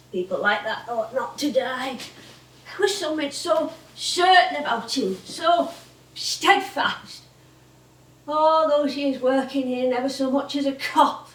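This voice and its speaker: Elderly northern English performer, stubborn, unhurried, echoey stage, theatre drama. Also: female voice, high-pitched, a bit bright. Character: stubborn, wry old northern music-hall comedienne. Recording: echoey theatre, distant mic.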